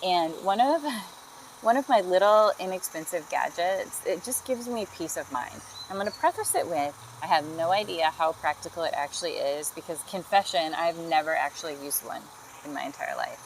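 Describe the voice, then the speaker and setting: A woman's voice: on the low side, animated, normal speed, a little hiss or hum, rough recording. A chatty woman from Texas, hosting a casual vlog and saying y'all. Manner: chatty, friendly, uses y'all. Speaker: host. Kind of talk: casual product demo vlog.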